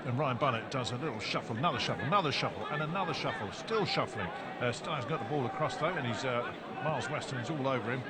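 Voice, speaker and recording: A man's voice: medium pitch, fast, few pauses. Man calling football over crowd roar. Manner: excitable play-by-play with frequent self-corrections. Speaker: match commentator. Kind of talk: live football commentary. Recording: loud crowd noise behind commentary. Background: stadium crowd.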